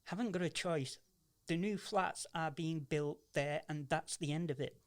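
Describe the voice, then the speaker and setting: A woman's voice: deep voice, normal speed, lightly acted. A woman playing a hard, scornful ex-military relative in a radio play, speaking bluntly and dismissively. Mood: cold, dismissive.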